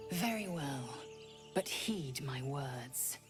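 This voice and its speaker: A woman's voice: very deep voice, expressive, very slow, over music, heavily acted. An actress playing a proud, stern alien warrior woman in a video game, commanding and formal. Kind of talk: video game dialogue acting.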